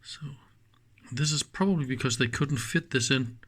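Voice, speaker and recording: A man giving casual, unscripted hands-on commentary as a teardown host, musing in a halting way and trailing off mid-thought. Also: mid-range voice, fairly bright tone. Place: indoors at a workbench.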